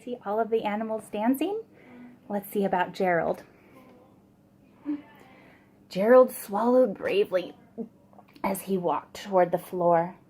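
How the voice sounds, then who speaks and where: A woman reading a picture book aloud for young children in an expressive, child-directed way. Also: medium pitch, a little slow, pauses often, husky. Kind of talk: slow read-aloud storytelling for young children.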